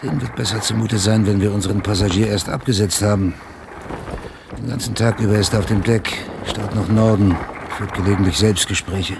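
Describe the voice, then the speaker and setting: A man's voice: lowish voice, steady tone, brisk, creaky, noisy background. An actor playing a dutiful, uneasy ship's lieutenant in a German radio drama, respectful and frank toward his superior.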